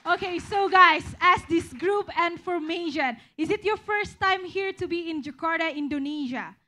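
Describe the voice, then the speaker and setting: A young woman hosting a live stage interview on a small venue stage, speaking into a handheld mic and cheering the band on excitedly. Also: extremely high pitch, moderate pace, some chatter behind, a little hiss or hum. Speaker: event host.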